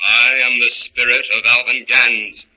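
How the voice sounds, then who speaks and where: An actor playing an unseen crime fighter posing as a ghost, ominous and commanding in a declamatory 1940s radio drama style. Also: male voice, mid-range voice, little pitch movement, a little slow, pushed and bright. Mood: ominous, mocking. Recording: dull old 1940s radio recording.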